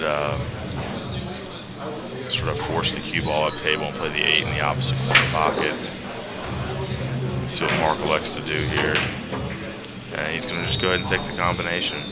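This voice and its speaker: An American man from Ohio doing low-key live sports co-commentary in a measured, brief, agreeable manner. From Ohio, USA. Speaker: pool match co-commentator.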